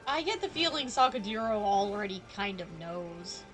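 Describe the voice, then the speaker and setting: A woman's voice: medium pitch, very animated, moderate pace, a bit bright. Lady streamer chatting over her game, mid voice, faint music in the back. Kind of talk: casual live gameplay commentary.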